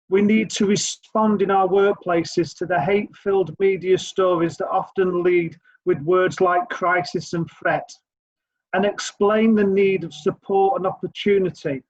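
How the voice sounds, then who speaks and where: A man's voice: high-pitched, dull audio. A man giving an earnest, rallying speech as a trade union speaker over a video call, with frequent hesitations. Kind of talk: prepared speech at an online event.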